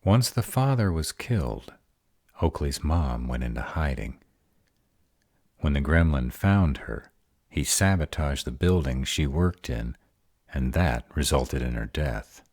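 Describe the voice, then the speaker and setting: A man's voice: rumbling low, lively intonation, measured pace, darkish tone, no echo, clean studio sound, exaggerated voice. A man playing a grumbly, smitten, easily distracted were-dragon in a fantasy audio roleplay, grumbling in conversational asides.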